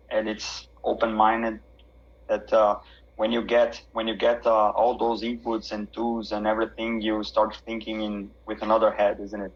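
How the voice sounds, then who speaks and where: A man's voice: on the low side, breathy edge, dull audio. A man from Brazil hosting a live online interview, speaking hesitantly with frequent fillers and affirmations.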